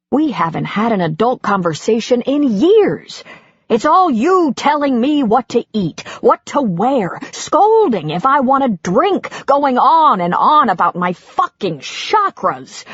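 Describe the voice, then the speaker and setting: Exasperated middle-aged husband read by a woman, bitter, swearing, husky voice, dry sound. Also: medium pitch, no room sound, dull audio. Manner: bitter, swearing, heated outbursts.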